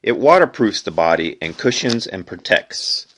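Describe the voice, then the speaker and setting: Male amateur reader, lowish voice, deliberate phrasing, unpolished textbook read-aloud. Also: sing-song, a bit bright, slight background noise. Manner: deliberate phrasing, reads headings aloud, stumbles and corrects himself. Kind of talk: unedited educational read-aloud.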